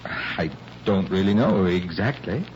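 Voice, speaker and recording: An actor playing a concerned, paternal family lawyer in a 1940s radio drama, earnest, emphatic and formal. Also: male voice, deep voice, lively intonation, measured pace. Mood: worried, confiding. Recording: muffled vintage radio recording, faint hiss.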